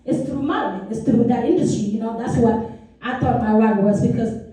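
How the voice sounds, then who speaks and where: High-voiced woman speaking in an echoey hall. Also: fairly quick, mellow, distant mic. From South Sudan. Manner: earnest, many asides of "you know". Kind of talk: personal story talk. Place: meeting room.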